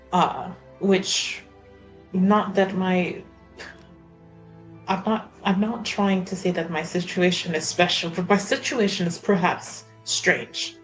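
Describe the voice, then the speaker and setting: A woman playing a tabletop roleplaying game, talking in a hesitant, halting way as she searches for words. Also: fairly low pitch, poor audio. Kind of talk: unscripted in-character roleplay and table talk.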